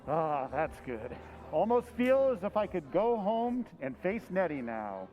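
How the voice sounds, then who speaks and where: A man's voice: high voice, very animated, a little slow, long reverb tail, dull audio. A man playing a weary henpecked husband. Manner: chatty, easily amused, curious. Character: harried henpecked husband, middle-aged man. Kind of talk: old-time radio drama acting.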